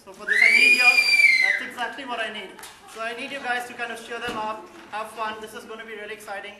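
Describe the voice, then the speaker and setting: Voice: medium pitch, flat delivery, fast. An emcee announcing the next act and urging the audience to cheer. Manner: upbeat, hyping the crowd. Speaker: event host. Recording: echoey hall from far off. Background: loud crowd noise.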